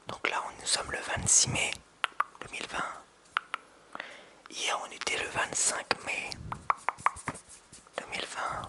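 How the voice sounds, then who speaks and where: Man, 30s, ASMR whisper, unhurried, very bright airy tone, close binaural mic. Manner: soft whisper with hesitant restarts. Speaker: ASMR creator. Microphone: close binaural mic with hand sounds.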